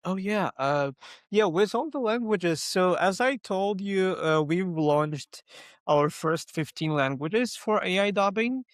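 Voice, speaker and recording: A man whose first language is Ukrainian, speaking as an interview guest in a halting way, with many fillers and restarts. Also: high voice, animated, no echo, studio quality. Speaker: guest, localization company founder. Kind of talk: conversational interview.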